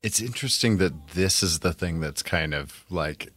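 A man's voice: low voice, expressive, moderate pace, fairly bright tone, breathy edge, no room sound. A man co-hosting a podcast, talking casually about pop culture. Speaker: podcast co-host.

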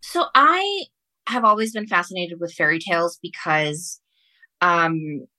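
Lady guest on a podcast, spanish first language, bright lowish voice, chatty, keeps restarting her sentences. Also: animated, dry recording. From Ecuador. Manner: halting, self-correcting, repeats phrases. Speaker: guest author. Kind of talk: casual podcast interview, storytelling.

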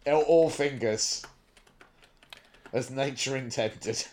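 A man's voice: medium pitch, slow, darkish tone, a little hiss or hum. A man with a British accent, chatting casually as a podcast co-host. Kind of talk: casual chat.

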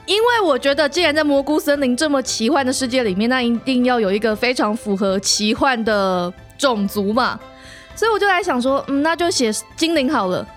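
A woman with a Taiwanese Mandarin accent talks in a high-pitched voice. She chats casually as a host and author, explaining things playfully, with soft music underneath. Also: expressive, brisk. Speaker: podcast host and story author. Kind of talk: casual chat.

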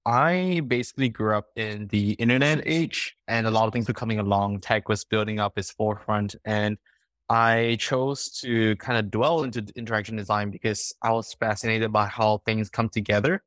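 A young man from Singapore chats eagerly about his design studies, with plenty of fillers. His voice sits a little low. The recording is dry and close. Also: steady tone, normal speed, fairly bright tone, no room sound. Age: young adult. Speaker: design student guest. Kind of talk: casual podcast chat.

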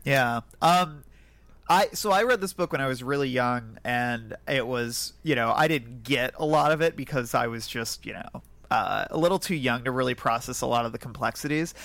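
A man's voice: mid-range voice, moderate pace, creaky, fairly bright tone, dry recording. A man co-hosting a podcast, casually discussing books and films. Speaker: podcast co-host.

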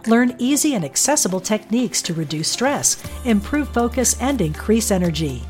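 A woman reading a scripted promotional ad in a smooth, measured way. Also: a little high, very animated, darkish tone, soft background music. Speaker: ad announcer. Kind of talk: scripted ad read.

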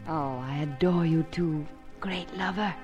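A woman's voice: low voice, measured pace, darkish tone, dull audio. An actress playing a sweet, loyal young wife, wide-eyed and girlish, in a 1950s radio comedy drama. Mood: devoted. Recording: old radio broadcast, faint music.

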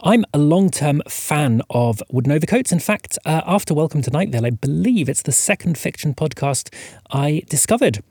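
Husky, lively male podcast host. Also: on the high side, normal speed, no echo, studio quality. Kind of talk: podcast introduction, partly scripted.

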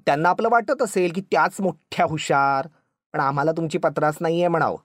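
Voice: mid-range voice, expressive, a little fast, darkish tone, no echo, studio quality, close to an everyday voice. A narrator voicing a touchy, dramatic young village wife who talks in an emotional, scolding, plaintive way. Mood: scornful.

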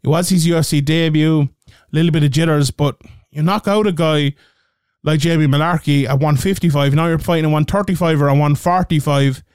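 A man's voice: a little high, fairly even intonation, brisk, a little mellow, smooth, no echo, studio quality. An Irish man hosting a casual betting show, thinking aloud and checking the odds as he talks. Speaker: host. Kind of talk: casual betting picks talk.

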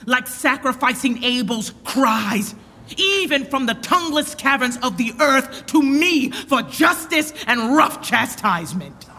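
Woman playing a proud angry young lord, high forceful voice, slow shakespeare verse, big echoey hall. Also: expressive, exaggerated voice. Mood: grave accusation. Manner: declamatory, scornful, deliberate. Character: proud, wrathful young nobleman accusing a rival. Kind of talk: Shakespearean verse drama acting. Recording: long echoing hall reverb.

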